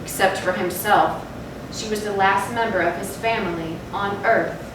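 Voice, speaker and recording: A woman giving a measured, solemn dramatic narration of a gothic story in a stage reading. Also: mid-range voice, natural voice. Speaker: narrator in a readers' theatre. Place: live theatre stage, recorded from afar. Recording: very echoey, distant, noisy hall.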